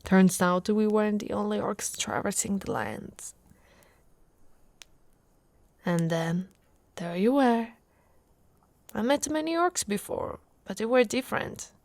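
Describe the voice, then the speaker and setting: A woman's voice: mid-range voice, lively intonation, a little slow, gravelly, a little mellow. A woman from Italy voicing an affectionate, playfully boastful orc warrior wife in an intimate, teasing ASMR roleplay, often dropping to a whisper. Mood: nostalgic.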